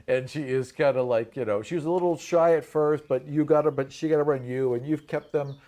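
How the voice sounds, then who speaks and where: Man running a tabletop game, conversational and halting. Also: fairly high pitch, dark tone. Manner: conversational, halting and rephrasing as he describes scenes. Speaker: game master of a tabletop fantasy game. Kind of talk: improvised game narration mixed with casual table chat.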